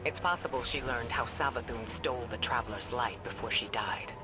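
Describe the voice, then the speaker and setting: A composed and resolute female mentor from a video game. She speaks gravely and deliberately, like a cautious scholar who commands spies, with music playing clearly behind her. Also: very deep voice, rough recording. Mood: measured, cautious. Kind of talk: scripted video game dialogue. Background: background music.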